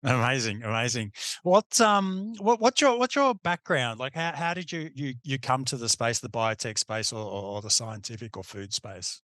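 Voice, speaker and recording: Man host, bright sharp tone, mid pitch, eager questions; dry close mic. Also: lively intonation, dry recording. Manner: eager, restarts questions, quick to affirm. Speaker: podcast host. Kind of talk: casual podcast interview.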